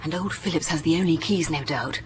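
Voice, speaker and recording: An actress playing a practical, inquisitive English clergyman's wife in a radio drama, brisk and sensible. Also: low-pitched, background noise, close to an everyday voice. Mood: brisk, determined.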